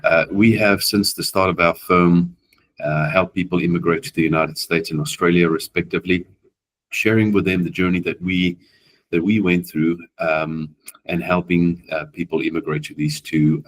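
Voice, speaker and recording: A man, an attorney whose first language is Afrikaans, hosting a legal webinar and speaking haltingly with many fillers. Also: sits low. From South Africa. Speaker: immigration attorney, webinar host. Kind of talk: webinar presentation.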